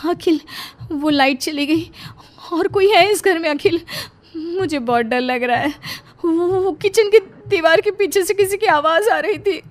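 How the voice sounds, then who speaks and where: A woman voicing a frightened young wife in an animated horror story, pleading and questioning in panic. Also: very high voice, unhurried, pitched above the performer's own voice.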